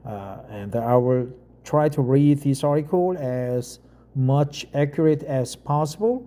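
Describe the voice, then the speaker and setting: A middle-aged Korean man with a Korean accent, a non-native English speaker talking casually and reading an article aloud, halting with frequent fillers and restarts. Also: medium pitch, warm tone.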